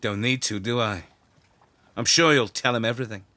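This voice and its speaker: A man's voice: medium pitch, moderate pace, sharp tone, natural voice. An actor playing a resentful, scheming pirate crewman in a musical audio drama, persuasive, sarcastic and given to breaking into song. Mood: defensive, resentful. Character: discontented scheming pirate crewman, sly, resentful, misogynist agitator.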